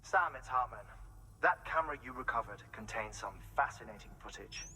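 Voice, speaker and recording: Game role: professorial male scientist, bright mid-range voice, eager, theatrical lecturer. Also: medium pitch, lively intonation, brisk, soft background music, poor audio. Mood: absorbed, lecturing. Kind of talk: video game radio briefing.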